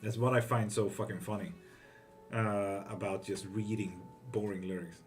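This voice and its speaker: A man's voice: on the low side, faint music behind. A man from Greece, hosting a live tarot stream and reading out viewer comments in a loose, profane, chatty way. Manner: relaxed and profane, with quick laughs.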